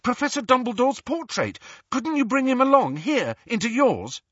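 A man's voice: mid-range voice, wildly swooping pitch, measured pace, pushed and bright, husky, no echo, dull audio, voice pushed up, full character voice. A man voicing an urgent, impatient teenage boy from a storybook, with a direct and insistent manner. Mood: excited, pleading.

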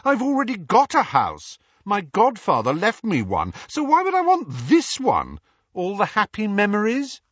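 A sardonic, defiant teenage boy voiced by a man, dry and cutting, rising to indignant retorts. The voice sits bright and slightly breathy, close and dry in a dull, lo-fi recording. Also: lowish voice, very animated, slow, hardly any pauses, no room sound, exaggerated voice. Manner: dry and sarcastic, flaring up indignantly.

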